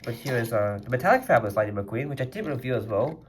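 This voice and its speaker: A man's voice: medium pitch, lively intonation, fast talker. A man reviewing a custom toy car in a casual vlog, rambling and chatty with a slightly congested voice. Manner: rambling and chatty, lots of "like" fillers.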